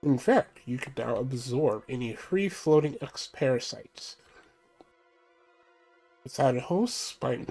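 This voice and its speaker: A man reading out a stern male ship computer giving mission orders, measured and plain, his voice stuffy from a cold. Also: mid-range voice, lively intonation, darkish tone, soft background music. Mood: briefing. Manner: reads briefing text aloud, measured.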